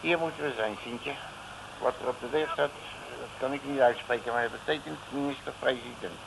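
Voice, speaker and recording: An actor playing a cocky, slangy working-class detective in a 1950s Dutch radio comedy serial. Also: male voice, medium pitch, brisk, vocal fry, close to an everyday voice. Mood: self-important. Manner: slangy, blunt, self-assured. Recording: noisy old radio recording.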